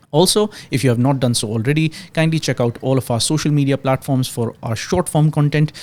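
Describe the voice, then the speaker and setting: A man's voice: medium pitch, darkish tone. A young man from Pakistan hosting a podcast, reading a scripted intro and then asking questions in a hesitant, conversational way with frequent restarts. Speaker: podcast host. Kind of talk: podcast interview.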